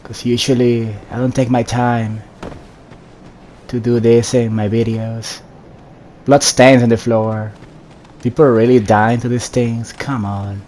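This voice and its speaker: A man talks through his own gameplay in a low-key, casual way. His voice stays at a middling pitch with very little rise and fall. He thinks aloud in loose fragments, and faint background noise runs under the recording. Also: unhurried. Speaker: gamer narrating own playthrough. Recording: steady background noise behind voice.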